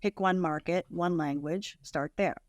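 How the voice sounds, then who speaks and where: A woman's voice: on the low side, unhurried, no echo, studio quality. A woman speaking as an expert podcast guest, conversational, informal and plain-spoken with emphatic points. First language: English. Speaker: podcast guest, content marketing consultant.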